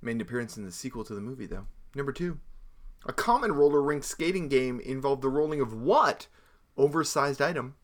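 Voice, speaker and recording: Laid-back man with a lively voice. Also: mid-range voice, a little fast. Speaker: trivia co-host. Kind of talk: casual chat reading trivia answers.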